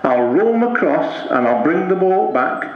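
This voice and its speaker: A man's voice: on the high side, very animated, normal speed. Man as haggling father, very echoey. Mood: bargaining. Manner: blunt, bargaining. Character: thrifty, haggling Lancashire father, stubborn and cocksure. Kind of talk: comic dialect verse monologue. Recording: muffled, very echoey live room.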